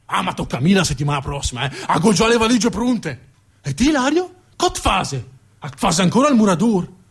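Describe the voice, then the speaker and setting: Guy doing a chatty northern italian village bloke, high voice, boastful and exclaiming, stage play. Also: sing-song, brisk, husky, full character voice. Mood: enthusiastic, teasing. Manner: dialect-laced, exclamatory, drawling emphasis. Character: chatty, boastful working-class village man greeting his friend.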